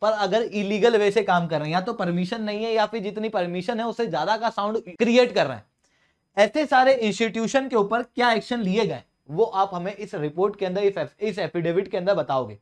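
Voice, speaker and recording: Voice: mid-range voice, brisk. An Indian teacher explaining material in an exam-prep lecture, posing questions and answering them, with many English terms mixed in. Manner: didactic, poses questions then answers them.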